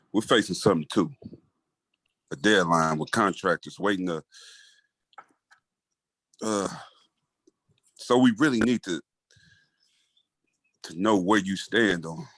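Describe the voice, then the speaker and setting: Man acting a low, slow-talking weary mayor. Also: fairly bright tone, dry recording, heavily acted. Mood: measured, pressing. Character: weary, cautious male city mayor under pressure. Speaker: actor in a staged reading. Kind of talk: staged play reading.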